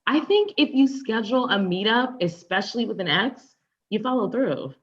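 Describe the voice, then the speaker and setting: A woman's voice: a little high, big pitch swings, moderate pace, dull audio. A woman playing a confrontational, wounded ex-girlfriend in a conversational table read, pointed and sarcastic. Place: online live stream table read.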